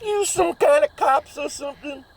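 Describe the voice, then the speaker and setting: A man's voice: very high voice, normal speed, vocal fry. A man playing an ornery, suspicious, dim-witted backwoods hillbilly with a put-on rural drawl in a comedy audio drama. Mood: wary, blustering. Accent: rural Southern hillbilly drawl, put on. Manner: folksy bad grammar, exaggerated bluster.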